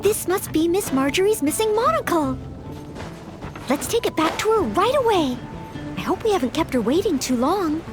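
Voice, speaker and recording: Young maid knight-hopeful, video game, very high voice, animated, eager, modest, courteous. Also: female voice, normal speed, music in the background. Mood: eager, pleased. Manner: polite, earnest, self-effacing. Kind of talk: scripted video game voice acting.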